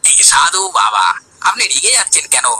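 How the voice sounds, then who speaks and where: A man playing a pushy village schemer, very sharp-voiced. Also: fairly high pitch, sing-song, brisk, husky, full character voice, rough recording. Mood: wheedling. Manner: wheedling, pushy. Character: scheming flattering village man, bullying to lesser folk. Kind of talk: Bengali cartoon story dialogue.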